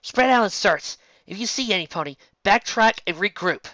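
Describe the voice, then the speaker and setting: A narrator voicing a calm, studious female unicorn pony who leads the group in a composed, instructing way. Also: mid-range voice, moderate pace, no echo, muffled recording, voice pushed up. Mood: composed, commanding.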